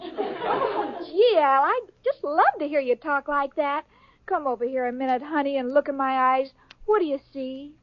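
An actress playing a sweet, dim-witted young woman in a 1940s radio sitcom, wide-eyed and breathy. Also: sits high, measured pace. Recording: dull old radio broadcast, audience laughter, hiss.

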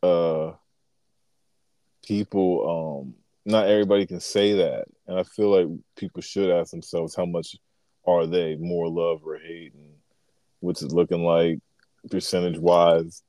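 Podcast guest: man, very low deep voice, dry close sound, sarcastic joker. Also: fairly even intonation, a little slow, mellow, no echo. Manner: sarcastic jokester, laid-back slangy talk. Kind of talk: casual conversation.

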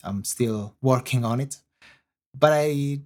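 A man with an Italian accent and Italian as his first language, a guest on a podcast interview, answering in halting English as he searches for words. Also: medium pitch. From Italy. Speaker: guest composer.

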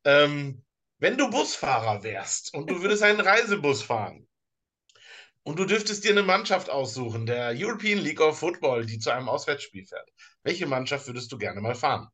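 A man's voice: a little high, very animated, bright, forceful tone. A man with a German accent co-hosting a casual sports podcast, talking hesitantly with restarts. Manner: hesitant, many fillers and restarts, slow in places. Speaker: podcast co-host.

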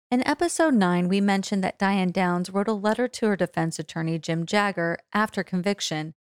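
A woman's voice: lowish voice, clear, resonant tone, dry recording, clean studio sound. A woman reading scripted narration as a podcast host.

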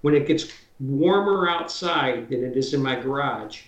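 A man's voice: medium pitch, animated, a little slow, echoey room. A man in his sixties, a drywall taper, chatting casually as an interview guest and explaining his work in hands-on detail. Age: 60s.

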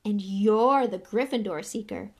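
A woman voicing an excitable young schoolboy fan who gushes questions in an eager, breathless rush. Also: mid-range voice, unhurried. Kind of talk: audiobook character voice.

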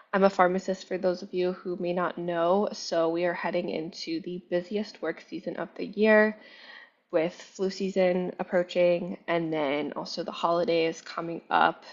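A young adult woman hosting her own podcast, chatting casually with lots of fillers, her voice slightly raspy while she recovers from illness. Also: fairly low pitch. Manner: chatty, upbeat, many "like" and "you know" fillers. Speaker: podcast host. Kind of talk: casual solo podcast chat. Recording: dull lo-fi sound.

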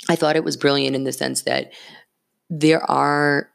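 Female podcast host, casual solo monologue, candid and emphatic, gravelly vocal fry, slightly scratchy. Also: deep voice, flat delivery, a little slow. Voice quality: slightly scratchy early-morning voice.

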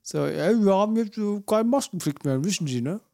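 A man's voice: high-pitched, lively intonation, fairly quick, vocal fry, dark tone. A man talking casually as a podcast co-host, telling anecdotes in a slangy, repetitive way. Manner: slangy, colloquial, repeats himself.

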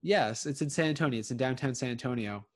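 A man's voice: medium pitch, quick pace. A man in his thirties from Connecticut, chatting casually and rambling with many fillers and restarts. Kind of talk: casual chat.

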